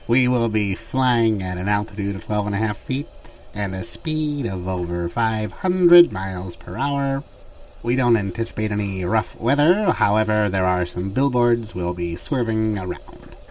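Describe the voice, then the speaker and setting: An unflappable airline captain, played by a man, speaks in a lowish voice over some background noise. He delivers his cabin announcements slowly and calmly, deadpan in an audio comedy. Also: close to an everyday voice. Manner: slow, calm announcement delivery. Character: unflappable, absurdly frank male airline captain.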